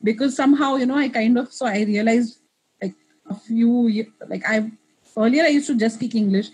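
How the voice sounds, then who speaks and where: A woman's voice: on the high side, moderate pace, darkish tone, husky, poor audio. A woman in her thirties, a guest in an interview, talking in a reflective, candid way with frequent restarts. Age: 30s. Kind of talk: casual interview conversation.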